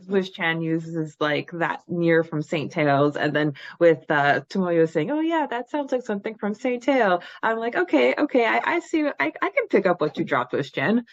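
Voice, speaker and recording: Lower-pitched woman podcaster, slightly dull dry sound. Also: no echo. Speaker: podcast co-host. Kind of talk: casual fan discussion chat.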